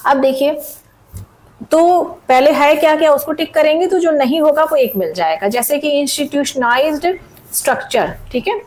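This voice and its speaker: A woman with a sore throat teaching an exam-prep lesson, reading questions out slowly and checking in with students often. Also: high voice, darkish tone, low-quality recording. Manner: frequent "theek hai" checks, reads questions slowly in English. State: sore throat, voice cracks at times. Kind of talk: exam-prep lesson mixing Hindi and English.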